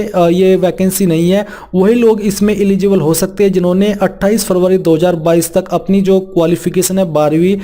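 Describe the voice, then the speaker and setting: Man, high voice, soft dark tone, explanatory, instructional walkthrough. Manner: explanatory, reads out formal text then restates it plainly. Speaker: presenter of a job vacancy explainer. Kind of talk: informal instructional walkthrough of an official notice.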